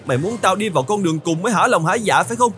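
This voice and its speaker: Cartoon camel,, young, high voice, whiny, melodramatic, very animated delivery. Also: male voice, racing, faint music behind. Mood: angry. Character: anxious young male camel, plaintive and hot-tempered. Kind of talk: cartoon voice acting.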